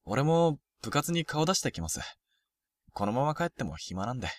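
A man playing an earnest, lovelorn high school boy in a Japanese voice drama, speaking as a polite but casual junior. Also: big pitch swings, moderate pace, no echo, studio quality, far from the mic, close to an everyday voice. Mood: reassuring. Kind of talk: Japanese romantic-comedy voice drama acting.

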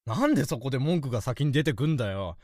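A woman playing a brash, childish high school boy in a romantic comedy audio drama, rough and cocky. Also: lively intonation, fast, a little mellow, dry recording, studio quality. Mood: playful. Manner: rough, cocky boyish speech.

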